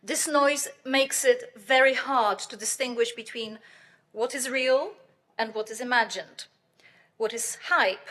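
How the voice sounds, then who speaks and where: A middle-aged woman giving a conference keynote lecture, loud and earnest, with fillers and light laughs. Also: a little high. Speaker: keynote speaker, professor.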